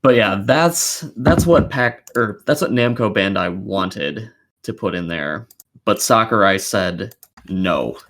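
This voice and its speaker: A man's voice: on the low side, expressive, slow, a bit bright, a little hiss or hum. A man hosting a gaming podcast, chatting casually with blunt, disgruntled opinions and plenty of swearing.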